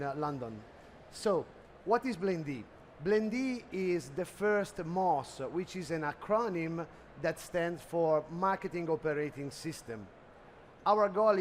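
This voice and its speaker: A middle-aged man with an Italian accent, giving a business presentation at a trade expo and often pausing on "uh". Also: a little high, slight background noise. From Italy. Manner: hesitant, many "uh" fillers. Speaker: conference speaker. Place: expo hall stage.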